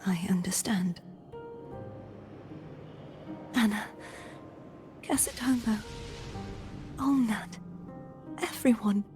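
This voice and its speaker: A woman playing a solemn, resolute young female warrior in a video game, speaking in an earnest, measured, breathy way. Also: mid-range voice, over music, natural voice. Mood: solemn, subdued.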